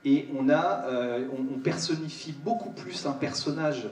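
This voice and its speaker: A man's voice: medium pitch, a little mellow, a little hiss or hum. Echoey, distant man speaking at a panel. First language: French. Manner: halting, repeats words for emphasis. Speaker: host of a panel discussion. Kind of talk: spontaneous spoken remarks at a live panel. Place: large cinema auditorium. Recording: echoey hall, distant microphone.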